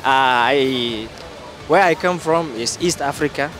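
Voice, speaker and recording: A man's voice: mid-range voice, unhurried, fairly bright tone, soft background music. A man from Somalia with a Somali accent, hosting a casual street quiz outdoors. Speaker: street interviewer, YouTube host. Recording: noisy outdoor urban street.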